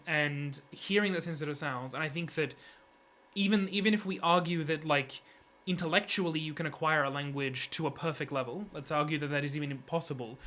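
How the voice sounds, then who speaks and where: A man giving an unscripted, analytical opinion monologue, halting often and correcting himself as he works through his argument. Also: on the high side, pushed and bright. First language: English, heritage speaker of Serbian. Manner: hesitant, halting, frequent self-corrections.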